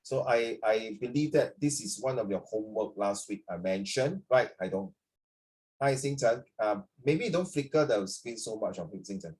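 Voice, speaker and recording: Husky Singaporean man teaching online. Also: lowish voice, expressive, normal speed, darkish tone, poor audio. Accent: Singaporean English. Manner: chatty, mixes English and Mandarin, checks in with students by name. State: recovering from flu. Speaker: social studies teacher. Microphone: video call.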